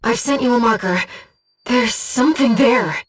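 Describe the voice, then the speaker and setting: A woman playing a gentle, disembodied female mission operator in a video game, earnest and composed, with clearly enunciated tactical callouts. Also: on the high side, measured pace, recorded from a distance. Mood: composed, briefing. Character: gentle disembodied female voice guiding a mercenary pilot.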